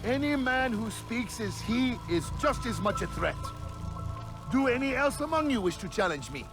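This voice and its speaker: An actor playing a proud, scornful book-burning zealot in a video game, declaiming like a preacher. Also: male voice, very high voice, very animated, moderate pace, music in the background, heavily acted. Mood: commanding, threatening. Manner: declamatory, preaching, rhetorical questions. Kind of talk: dramatic video game acting.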